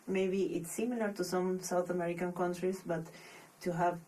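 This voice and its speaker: A young woman from Peru with a Peruvian Spanish accent, a podcast guest speaking English as a second language, thoughtful and pausing often to restart her phrases. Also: fairly low pitch, steady tone, dark tone, distant mic, poor audio. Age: young adult. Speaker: podcast guest, master's student. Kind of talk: casual interview.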